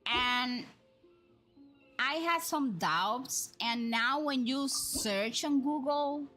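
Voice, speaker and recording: A woman's voice: sits high, drawn-out words, sharp tone. A woman chatting casually as she hosts a game livestream, talking straight to viewers and often checking in with tag questions. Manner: conversational, addresses viewers with frequent tag questions. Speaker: live streamer, host. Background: background music.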